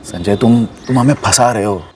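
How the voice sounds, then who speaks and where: An actor playing a wary middle-aged uncle in a TV crime drama, familiar and protective in a grudging way. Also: male voice, fairly low pitch, normal speed, a little hiss or hum, close to an everyday voice. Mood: exasperated.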